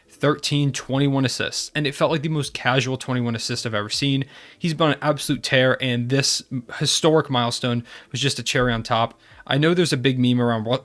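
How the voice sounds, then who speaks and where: A man's voice: mid-range voice, fairly bright tone. A young man hosting a basketball recap channel, talking casually and enthusiastically while stuffy from a cold. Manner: enthusiastic, fan-like. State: congested from a cold.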